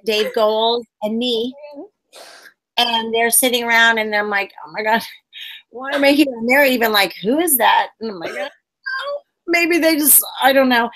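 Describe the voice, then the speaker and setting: A woman in her forties, a puppeteer, telling stories in a casual interview over a webcam video call from a room at home, acting out quotes with sudden high squeals. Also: fairly bright tone, low-quality recording. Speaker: puppeteer guest.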